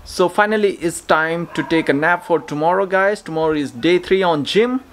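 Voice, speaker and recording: A man's voice: fairly high pitch, normal speed, fairly bright tone. Upbeat man vlogging on a noisy phone. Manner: chatty, upbeat, addresses viewers directly. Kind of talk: casual vlog to camera. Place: streets, stairwell and gym at dawn, then a bedroom. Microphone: phone held at arm's length. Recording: phone audio with clear background noise.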